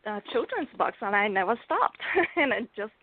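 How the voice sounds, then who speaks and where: A woman's voice: fairly high pitch, sing-song, normal speed, dry recording. A woman from Bulgaria, a native Bulgarian speaker, chatting as a guest author in an interview, laughing often and filling gaps with ums. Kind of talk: casual interview chat. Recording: dry tinny phone-like line.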